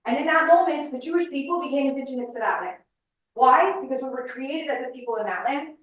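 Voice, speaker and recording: A woman's voice: sits high, fast talker, fairly bright tone, highs cut off. A woman, giving an emphatic, insistent public lecture to a room full of people. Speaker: speaker. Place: large room with audience. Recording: echoey hall, mic at a distance.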